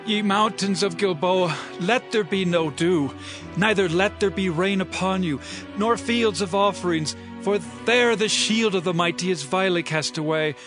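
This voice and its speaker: King David, high and solemn. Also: fairly bright tone. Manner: solemn, lamenting. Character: King David, grieving warrior-king. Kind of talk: dramatized scripture reading. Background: faint background music.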